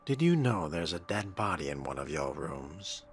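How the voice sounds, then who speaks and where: A man doing in-character game commentary with a dry, wordy, sardonic manner, often dropping into a breathy murmur. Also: low-pitched, very animated, moderate pace, slight background noise. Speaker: role-playing let's player. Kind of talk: in-character game commentary, musing aloud and reading text. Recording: game music behind. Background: video game music.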